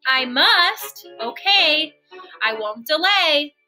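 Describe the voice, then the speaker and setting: A woman's voice: high voice, expressive, takes it slowly, sharp tone, faint music behind, exaggerated voice. A woman voicing a timid, eager-to-please young hippo in a storybook, with a hesitant, exclaiming delivery. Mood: anxious, obliging.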